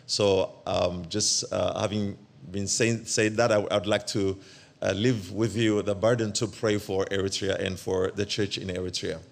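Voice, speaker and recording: Brief: a male guest preacher, lowish voice, gravelly, hesitant delivery. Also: little pitch movement. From Ethiopia. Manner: hesitant, halting, many fillers and restarts. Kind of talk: church sermon.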